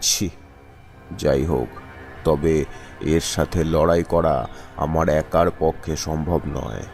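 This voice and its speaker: A man playing a stern, commanding village tantric in a cartoon ghost story, making grave, slow, dramatic pronouncements. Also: a bass voice, creaky, a little mellow, full character voice. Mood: scolding, grave. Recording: background music under the voice.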